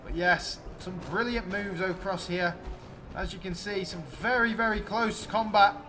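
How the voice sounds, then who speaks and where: A man giving excited, exclamatory play-by-play commentary on a video game battle, addressing his viewers. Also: high-pitched, measured pace, slight background noise.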